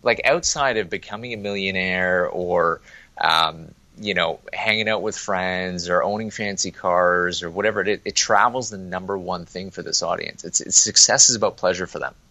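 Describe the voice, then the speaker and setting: Deep, bright-voiced Canadian businessman. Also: no echo. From Toronto, Canada. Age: young adult. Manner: quick, chatty, many restarts. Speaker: marketing agency president. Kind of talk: casual interview talk. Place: remote interview, Toronto office.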